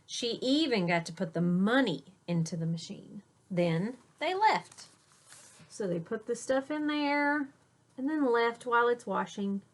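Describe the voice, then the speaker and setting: A woman teacher reading a picture book aloud to children, pausing for simple explanatory asides. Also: a little high, animated.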